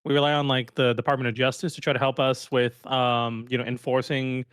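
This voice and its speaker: Podcast co-host, man, flat monotone, smooth and clear, dry close mic. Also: medium pitch, brisk, no room sound. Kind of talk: casual political chat.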